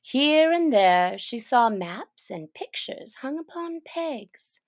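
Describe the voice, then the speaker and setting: A woman reading a children's story aloud in a gentle, soft manner that often drops to near a whisper. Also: fairly high pitch, sing-song, slow pace, no room sound, studio quality.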